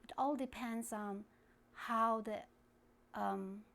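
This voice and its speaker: A woman from China, speaking English as a later language, answering interview questions in a halting way with many restarts. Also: on the high side, steady tone, vocal fry, a bit bright. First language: Kazakh. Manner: halting, searching for words, frequent restarts. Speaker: guest activist.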